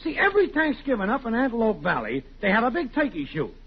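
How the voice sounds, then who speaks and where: A bragging, sly neighbor with an extremely high voice that swoops wildly up and down. He needles and wisecracks in 1940s radio comedy fashion. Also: huge pitch swings, fast, full character voice. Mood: slyly coaxing. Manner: boastful, needling, exclamatory. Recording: muffled old-time radio recording, faint hiss.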